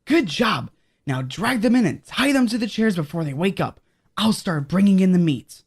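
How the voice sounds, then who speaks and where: A woman's voice: mid-range voice, animated, moderate pace, husky. A woman playing a scheming, upbeat assistant and kids' show host in a horror comedy audio drama. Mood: conspiring.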